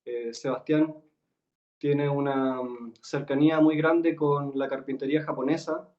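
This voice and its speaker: A man's voice: fairly high pitch, fairly even intonation, a little slow, muffled recording. A man hosting an online talk, introducing the guest speaker in a hesitant way, with many fillers and self-corrections, over a video call. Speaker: host and event organizer.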